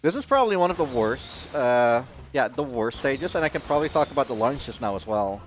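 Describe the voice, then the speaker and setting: A man commentates live on his own video game speedrun in a casual, chatty way. His pitch moves around a lot as he breaks off and restarts his explanations. Game music plays softly behind him. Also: expressive, brisk.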